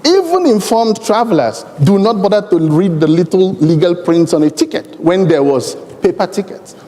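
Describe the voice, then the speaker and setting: A man with a Nigerian accent and Igbo as his native language, speaking deliberately and emphatically in elaborate formal phrases on a conference panel. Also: high-pitched, expressive, dark tone. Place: large conference hall. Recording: long hall reverb from conference PA.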